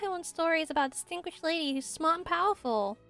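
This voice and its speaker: Woman voicing eager high-pitched village kid. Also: animated, fast, a bit bright, soft background music, heavily acted. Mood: eager, boastful. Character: excitable, boastful small village children, eager for stories.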